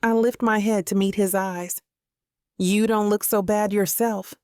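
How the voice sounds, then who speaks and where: A woman's voice: mid-range voice, steady tone, a little slow, a little mellow, clean tone, dry recording, studio quality. A woman reading a first-person romance audiobook as scripted narration.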